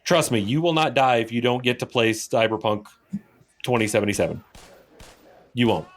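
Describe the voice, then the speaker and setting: A man's voice: medium pitch, moderate pace. A man with an American accent in casual podcast discussion, explaining with emphasis and rhetorical questions. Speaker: podcast co-host.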